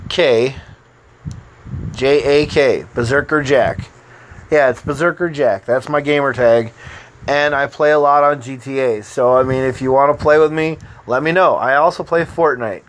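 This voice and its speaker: An American man hosting his own podcast, talking in a loose, meandering way with lots of fillers and restarts. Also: medium pitch. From United States. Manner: meandering, easygoing, frequent fillers. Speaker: podcast host. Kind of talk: casual rambling monologue.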